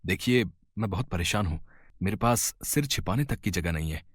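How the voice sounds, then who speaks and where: A kind but desperate young family man, voiced by a man in a storybook tale, pleading earnestly and quickening when worried. His middle-pitched voice is recorded close and dry. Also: expressive, no room sound. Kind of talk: storybook voice acting.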